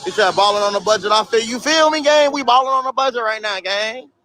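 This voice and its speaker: Bright, high-voiced young man streaming in slang. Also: fast, soft background music. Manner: loose street slang, swearing, laughing at chat. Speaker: livestreamer. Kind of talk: casual livestream chat reading viewer comments.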